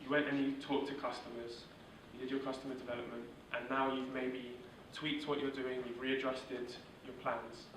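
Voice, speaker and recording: A man's voice: medium pitch, moderate pace, hardly any pauses, distant mic, noisy background. Man teaching in an echoey room. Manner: steady, monotone delivery with filler words. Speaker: workshop instructor. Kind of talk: informal teaching talk.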